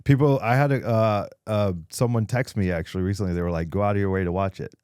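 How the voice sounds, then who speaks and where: A man co-hosting a podcast in casual chat, animated and jokey, often repeating himself. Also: lowish voice, quick pace, clean tone, no echo, clean studio sound. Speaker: podcast co-host.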